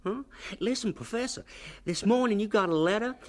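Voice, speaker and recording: An actor playing an eager, excitable young male assistant in a radio drama, talking informally. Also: mid-range voice, fairly quick, lightly acted. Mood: eager, concerned.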